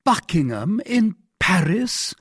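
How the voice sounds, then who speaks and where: Storybook king, voiced by a male reader: jealous, imperious, high and swooping, indignant, husky edge, dry sound. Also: sing-song, measured pace, dry recording, exaggerated voice. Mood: startled. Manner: heated, insistent, indignant outbursts. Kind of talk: dramatised classic novel reading.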